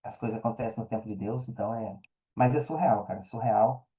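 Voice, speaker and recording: A man's voice: fairly low pitch, fast talker, dark tone, low-quality recording. A Brazilian man speaking casually and earnestly as a podcast guest, in colloquial phrasing, often repeating phrases for emphasis. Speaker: podcast guest, affiliate marketer and mentor.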